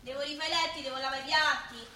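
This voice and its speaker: Spirited, very high-voiced young Roman girl, acted. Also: fairly even intonation, quick pace, close to an everyday voice. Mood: petulant. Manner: petulant, teasing. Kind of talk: radio theatre acting in dialect. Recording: distant, roomy, noisy stage recording.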